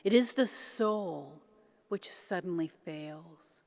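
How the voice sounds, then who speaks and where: Slow, solemn woman reader in long reverb. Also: fairly low pitch, a little mellow. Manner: deliberate, reverent, measured phrasing. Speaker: reverend reading devotional poetry. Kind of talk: solemn poetry reading.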